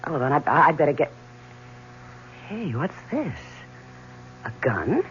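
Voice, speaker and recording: A woman's voice: sits low, very animated, slow, full character voice. A woman playing a coy, self-assured secretary and mistress in an old radio drama. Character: beautiful, bold secretary and mistress of the boss. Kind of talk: 1970s radio drama acting. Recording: muffled, faintly noisy old radio broadcast.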